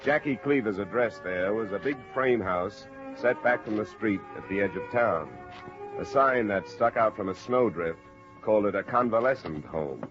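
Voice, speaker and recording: Low, dry radio private investigator played by a man. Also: moderate pace, lightly acted. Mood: dry, businesslike. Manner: dry, clipped, wry. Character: wry, businesslike freelance male insurance investigator. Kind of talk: 1950s radio detective acting and first-person narration. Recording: muffled old radio recording with music.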